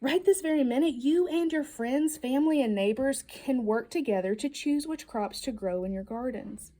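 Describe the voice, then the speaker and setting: A woman reading a picture book aloud to children in an expressive, emphatic storytelling manner. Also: high voice, moderate pace. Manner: expressive, emphatic storytelling delivery with playful questions. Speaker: storybook reader.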